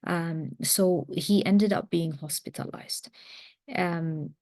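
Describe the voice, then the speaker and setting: A woman of about forty speaks as a parenting coach in a very dry, close-sounding recording. Her voice sits a little low with plenty of vocal fry. She is earnest and reflective, halting in places as she talks personally to her listeners. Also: a little mellow, no echo. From mountains of Lebanon. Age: around 40. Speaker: parenting coach, series host. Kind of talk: personal talk introducing a series.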